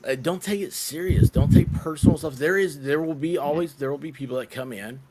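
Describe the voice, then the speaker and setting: A man's voice: a little high, fairly quick. A man hosting a casual group chat, speaking hesitantly with many fillers and restarts. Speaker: host. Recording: loud background noise.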